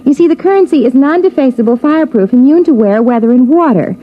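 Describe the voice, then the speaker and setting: A woman's voice: high voice, lively intonation, fast. A woman playing a chirpy young tour guide with rehearsed, patient patter in 1950s radio acting style. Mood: reassuring. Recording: muffled, noisy vintage radio recording.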